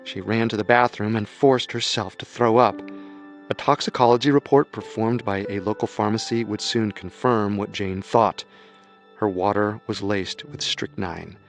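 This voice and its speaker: A man with a somewhat low voice narrating a true-crime radio drama. He speaks in a grave, ominous way, with music under his narration. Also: steady tone, normal speed.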